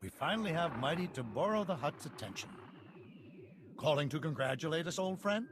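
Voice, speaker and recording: Man acting an earnest, expressive planetary leader. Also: on the high side, brisk, noisy background, lightly acted. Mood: wry. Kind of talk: video game character acting.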